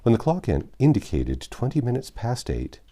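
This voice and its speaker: A man's voice: medium pitch, huge pitch swings, normal speed, warm tone. A man narrating a classic novel as an audiobook, reading expressively with stressed phrases.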